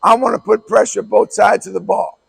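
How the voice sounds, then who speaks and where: A middle-aged man with a hoarse voice, a basketball coach giving a clinic talk in a blunt, emphatic way that repeats key words. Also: sits high, level tone, brisk, a bit bright.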